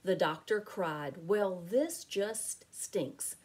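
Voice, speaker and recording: A middle-aged woman reading a picture book aloud to children as a teacher, in an expressive, deliberate, sing-song way. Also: fairly low pitch, breathy edge. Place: small home room with window blinds.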